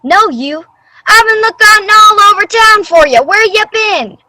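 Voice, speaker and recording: A woman's voice: very high voice, expressive, measured pace, bright, forceful tone, slight background noise, exaggerated voice. A woman playing a blunt, folksy, concerned male friend in an old-time radio drama, exclaiming as they talk. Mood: exasperated, friendly.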